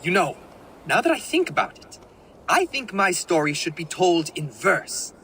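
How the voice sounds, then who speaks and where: An actor playing a boastful, naive and excitable young would-be warrior in a video game, with theatrical delivery. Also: male voice, high-pitched, normal speed, exaggerated voice, poor audio. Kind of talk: video game character acting. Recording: clear background noise and ambience.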